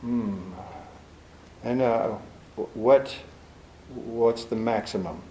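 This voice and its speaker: A slow, sardonic detective. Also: male voice, medium pitch, mellow, a little hiss or hum. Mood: stalling. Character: tough, wisecracking male private detective. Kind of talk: 1940s radio acting. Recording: roomy old radio broadcast recording.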